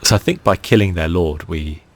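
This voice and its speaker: A man giving soft-spoken ASMR commentary as he plays a game, thinking aloud in a relaxed way. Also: sits low, normal speed. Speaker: gaming video host.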